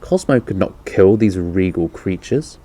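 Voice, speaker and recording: A man's voice: low-pitched, lively intonation, a little slow, dark tone. A man reading the part of an earnest, distressed woman in a children's storybook, pleading emphatically. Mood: earnest, admiring.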